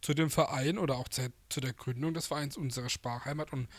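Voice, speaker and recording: A man's voice: medium pitch, fairly even intonation, a little fast, dry recording. Man, Alemannic Baden accent, studio host, rambling, casual, dry close sound. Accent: Alemannic Baden German. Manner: loose, rambling questions with fillers. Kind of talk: casual radio interview.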